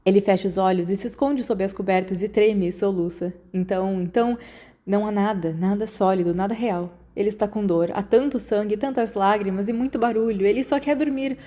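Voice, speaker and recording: A woman's voice: mid-range voice, a little fast, dark tone. A woman with a Brazilian accent reading fiction aloud in a scripted storytelling narration. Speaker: audiobook reader.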